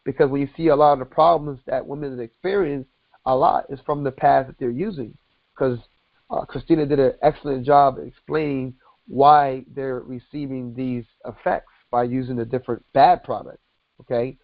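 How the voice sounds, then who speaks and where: A man giving a sales presentation as a company president on a conference call, deliberately going through items step by step. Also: mid-range voice, fairly even intonation, creaky, no room sound. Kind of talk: sales presentation, explaining packages and earnings. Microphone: conference call line. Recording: dull lo-fi conference call audio.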